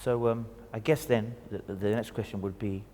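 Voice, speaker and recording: A man's voice: fairly low pitch, fairly even intonation, moderate pace, warm tone, big-room sound. A man hosting a studio talk show interview, speaking fluently with Arabic greetings. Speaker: host.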